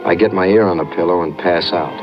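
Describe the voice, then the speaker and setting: A man's voice: deep voice, a little fast, over loud music, natural voice. An actor playing a wisecracking, streetwise tough guy with a wry, slangy manner in a 1950s radio drama. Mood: wry narration. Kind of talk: 1950s hard-boiled radio drama acting. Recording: noisy muffled 1950s radio recording.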